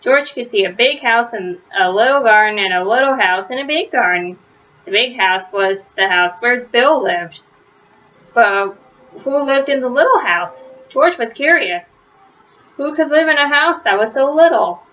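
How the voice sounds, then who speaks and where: Bright-voiced woman reading a children's storybook. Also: a little high, expressive, highs cut off. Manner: simple, deliberate phrasing with stressed words for young listeners. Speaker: storybook reader. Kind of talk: children's read-aloud narration.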